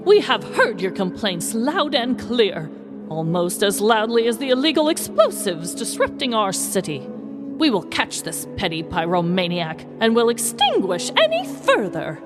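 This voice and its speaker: A woman's voice: medium pitch, huge pitch swings, measured pace, voice pushed up. A woman voicing a stern, officious councilwoman in a comic story, commanding a rowdy meeting, with music behind.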